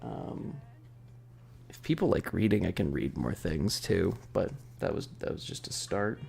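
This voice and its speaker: An ASMR stream host chatting softly and haltingly to viewers, sometimes in a whisper, close to the microphone. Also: low-pitched, lively intonation, creaky. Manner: soft-spoken, halting, talking to chat. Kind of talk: casual ASMR stream chat, partly whispered.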